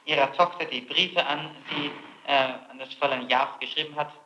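A man's voice: on the high side, moderate pace, highs cut off, heavy background noise. A man questioning a suspect formally as a prosecutor on an old tape, probing persistently and pressing for exact answers. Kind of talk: formal interrogation. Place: indoor room, archival interrogation tape. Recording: echoey room on 1960s tape.

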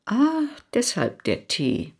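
An actress playing a lively, haughty lady guest who complains in a pointed way in a radio play. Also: mid-range voice, slow pace, creaky, slightly rough, heavily acted. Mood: indignant.

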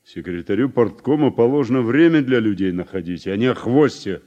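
An actor playing a stubborn, blunt older harvester driver in a 1980s radio drama. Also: male voice, mid-range voice, expressive, fairly quick, warm tone, dull audio, lightly acted. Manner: blunt, reproachful.

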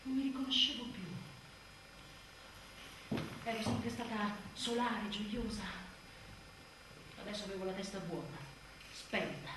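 A woman's voice: on the high side, natural voice. An actress playing an exhausted, exasperated new mother in a bitterly funny, dramatic confessional stage monologue. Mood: drained, sad. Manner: dramatic, sardonic, swinging from muttered to shouted. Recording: roomy distant theatre sound, faint noise.

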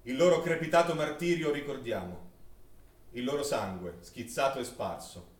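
A male actor playing a grave, anguished witness who narrates a wartime massacre in solemn, declamatory stage recitation with deliberate pauses. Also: medium pitch, natural voice. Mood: solemn mourning. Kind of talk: dramatic theatrical recitation of wartime testimony. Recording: very echoey, distant stage recording.

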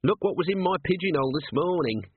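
Man doing a whiny scruffy watchman, high. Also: animated, fast talker, no echo. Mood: eager. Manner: whiny, working-class slang. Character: scruffy, sly young city watchman. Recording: dry husky phone-like audio.

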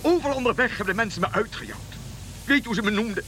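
An actor playing a fervent, dreamy old king in a Dutch radio play, excitable, stammering and repeating himself. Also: high voice, fast, faint music behind. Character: old Balthasar, fervent dreamy wandering king following a star.

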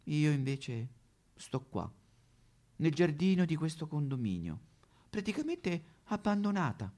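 Casting: male comic actor as vain, aggrieved Madonna statue, Roman accent, melodramatic satirical monologue, very animated swooping pitch. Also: unhurried. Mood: aggrieved, self-pitying. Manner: melodramatic, plaintive, sudden swoops into wailing. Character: aggrieved neglected female courtyard Madonna statue, self-pitying, vain.

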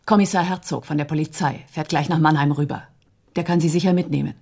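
Quick-witted secretary for radio drama: fairly low, warm timbre, composed, teasing. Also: female voice, fairly even intonation, gravelly. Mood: teasing, wry. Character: cool, quick-witted female secretary at chemical company. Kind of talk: German radio crime drama dialogue.

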